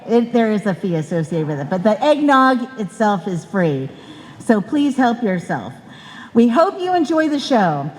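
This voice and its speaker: Female event announcer, higher-pitched and unhurried, welcoming an audience in a reverberant hall. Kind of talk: prepared welcome speech to an audience. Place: indoor stage in a club hall.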